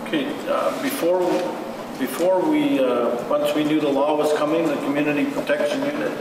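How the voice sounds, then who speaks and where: Man playing a halting expert in echoey hall. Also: on the high side, fairly even intonation, close to an everyday voice. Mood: matter-of-fact. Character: earnest male community safety expert answering questions. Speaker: community protection resource person at a meeting. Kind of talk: informal explanation at a community meeting. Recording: very echoey noisy hall, far from mic.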